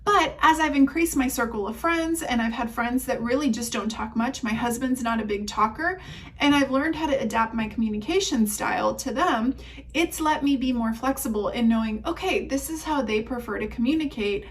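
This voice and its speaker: A woman's voice: on the high side, moderate pace, distant mic, slight background noise. A woman whose first languages were Spanish and German, giving chatty, upbeat coaching tips and laughing often as she talks. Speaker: leasing trainer.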